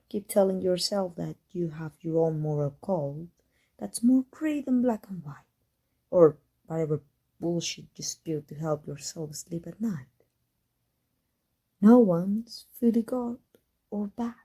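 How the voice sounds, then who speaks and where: A woman's voice: fairly low pitch, warm tone, breathy edge. A woman playing a sarcastic, prickly female supervillain who taunts a rival in a theatrical ASMR roleplay drama. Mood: scornful, sarcastic.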